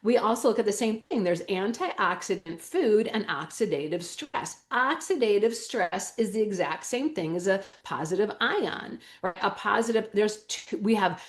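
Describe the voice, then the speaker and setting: A woman's voice: mid-range voice, expressive, normal speed, hardly any pauses. A woman with a Wisconsin accent explaining things enthusiastically and emphatically as an interview guest, using everyday analogies. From Wisconsin, United States. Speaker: natural medicine school owner and teacher, interview guest. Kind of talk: animated explanatory interview talk.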